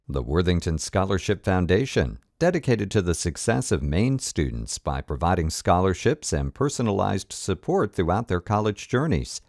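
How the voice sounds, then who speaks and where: A man gives a scripted sponsor read in a very deep, gravelly voice. His pitch still rises and falls with life, and the dry recording keeps him close and clean. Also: big pitch swings, moderate pace, few pauses, a little mellow, no echo, soft background music. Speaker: sponsor announcer.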